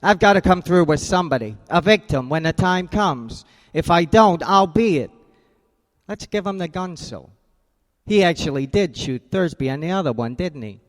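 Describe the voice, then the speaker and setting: An actor in a radio drama playing a tough, cool, wisecracking private detective with clipped, slangy, hard-nosed delivery. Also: male voice, a little high, expressive, normal speed, big-room sound, lightly acted. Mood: pressing, insistent. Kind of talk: hardboiled radio drama acting.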